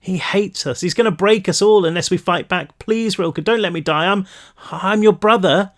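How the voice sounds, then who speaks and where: A man's voice: a little high, expressive, measured pace. A man voicing a desperate, terrified boy with a stunted wing, pleading and near tears.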